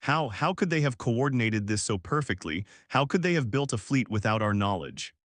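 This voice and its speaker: A proud alien admiral, voiced by a male narrator, makes military demands as his side loses. His pitch swings freely, and the sound is dry, as in an audiobook. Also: very animated, normal speed, a bit bright, dry recording. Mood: dismayed.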